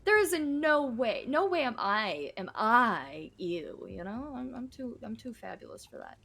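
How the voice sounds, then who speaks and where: Lively, bright-voiced young woman streamer. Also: on the high side, unhurried, creaky. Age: 20s. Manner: joking, chatty, answering chat. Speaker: game streamer. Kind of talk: casual live gameplay chat.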